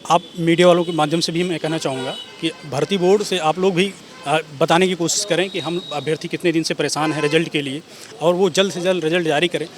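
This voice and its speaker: Young male protester, rapid high delivery, articulate and earnest. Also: steady tone. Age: young adult. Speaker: job candidate at a protest. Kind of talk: street interview.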